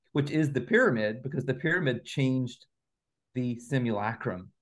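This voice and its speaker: A man speaking as an interview guest on a video call, explaining ideas methodically, step by step. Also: medium pitch. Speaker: guest author and researcher.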